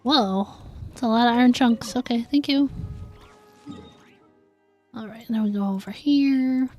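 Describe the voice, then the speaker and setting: A woman with a slightly high voice chats casually as she streams a video game. She is talkative and easily amused, with a giggly, informal way of talking to her viewers. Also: unhurried, darkish tone, faint music behind. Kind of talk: casual livestream gameplay chat.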